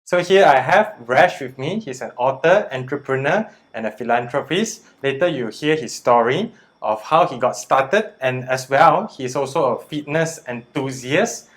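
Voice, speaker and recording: A man hosting an interview, introducing his guest and asking questions in a polite, measured way with hesitant starts. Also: mid-range voice, lively intonation, a bit bright. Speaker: interviewer, host.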